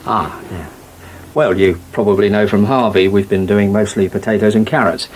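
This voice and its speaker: An actor with an English accent playing an affable, slightly vague farmer and husband in a radio soap, hesitant and polite. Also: male voice, fairly low pitch, steady tone, normal speed, close to an everyday voice. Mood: businesslike, polite. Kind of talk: naturalistic British radio soap acting. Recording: noisy old radio broadcast archive.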